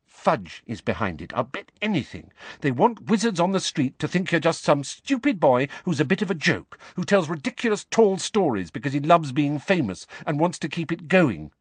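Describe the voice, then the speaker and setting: A man reading an audiobook, voicing an anxious, clever teenage girl who explains things rapidly and earnestly. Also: a little high, big pitch swings, breathy edge, dry recording, pitched above the performer's own voice. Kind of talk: audiobook character voice.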